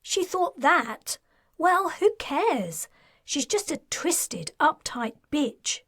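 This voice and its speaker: Brief: desperate young mother and ex-Marine, acted by a woman narrator, high and distraught. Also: big pitch swings, very slow, fairly bright tone, no room sound, clean studio sound, full character voice. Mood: eager.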